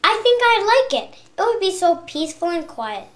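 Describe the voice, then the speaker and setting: A woman's voice: very high voice, big pitch swings, normal speed, noisy background, voice pushed up. A woman voicing a dreamy, anxious young boy in a readers theater story. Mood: wistful. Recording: echoey room.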